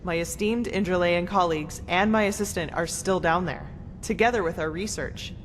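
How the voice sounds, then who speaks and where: A woman's voice: fairly low pitch, moderate pace, a little hiss or hum, natural voice. A woman voicing a blunt, sarcastic, foul-mouthed female mage researcher in a video game. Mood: urgent, frank. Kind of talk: video game character dialogue. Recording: reverberant hall, loud music behind.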